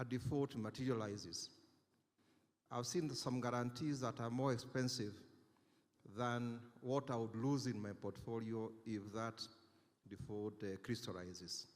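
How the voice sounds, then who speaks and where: A man on a conference panel giving a careful, deliberate answer, often repeating words as he thinks. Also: medium pitch. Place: conference hall. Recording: long hall reverb.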